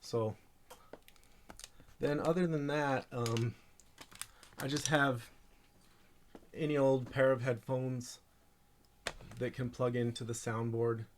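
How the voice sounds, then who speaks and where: A man presenting an informal hands-on tech tutorial, speaking haltingly as he thinks aloud while handling gear. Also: mid-range voice, steady tone, slight background noise.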